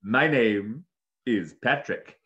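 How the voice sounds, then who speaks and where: A man voicing an excitable, polite male parrot in a theatrical storybook reading, with exclamations and drawn-out greetings. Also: lo-fi sound. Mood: cheerful, excited. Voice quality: low, chocolatey tones.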